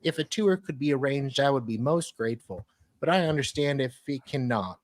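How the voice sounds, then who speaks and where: Male co-host, average pitch, dry close sound, reads aloud between bits of casual chat. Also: animated, moderate pace, no room sound. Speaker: co-host reading emails aloud. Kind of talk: reading emails aloud amid casual chat.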